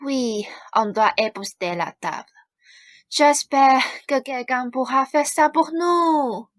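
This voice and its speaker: A woman's voice: sits high, slow pace, dry recording, clean studio sound. A woman playing an idle, snide young stepsister with mock kindness in a student radio play. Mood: mock-kind.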